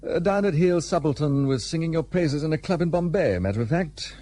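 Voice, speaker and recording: An actor playing a gruff, guarded, well-travelled gentleman in a period radio play, speaking in a dry, formal, old-fashioned way. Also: fairly high pitch, animated, fairly quick, slight background noise, lightly acted. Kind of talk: period radio drama acting.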